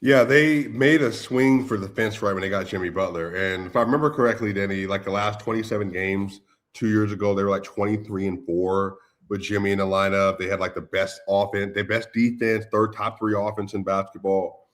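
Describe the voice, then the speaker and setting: A man's voice: lowish voice, fairly even intonation, brisk. A man co-hosting a casual sports chat, talking loosely with lots of "like" and calling his listeners "y'all". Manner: loose and conversational, many "like"s, addresses listeners as y'all. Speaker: podcast co-host.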